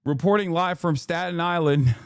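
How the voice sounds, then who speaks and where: A man's voice: on the high side, normal speed, very noisy. A man in his thirties with a Texan accent, hosting a live stream with hyped, profane, rambling commentary. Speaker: streamer host.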